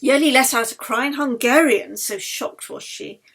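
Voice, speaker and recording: A woman narrating a novel as its author, in an expressive, dramatic storytelling style. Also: sits high, moderate pace, husky. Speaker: author reading her own novel.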